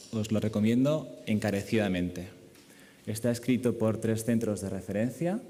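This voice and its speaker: A man's voice: mid-range voice, warm tone. A man with an accent from Spain giving a medical conference lecture as a surgeon, speaking in an echoey lecture hall. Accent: Spanish from Spain.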